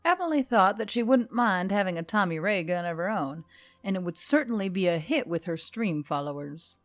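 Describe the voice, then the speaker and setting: Female narrator for scripted fiction, mid-range pitch, faint music underneath. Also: expressive, normal speed. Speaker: audiobook narrator and channel reader.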